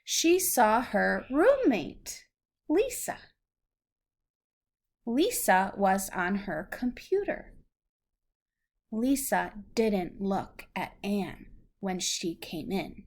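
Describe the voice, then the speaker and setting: A woman's voice: medium pitch, big pitch swings, very slow, long pauses. A woman reading a story aloud to a young child, patiently explaining things in simple, teacherly phrases.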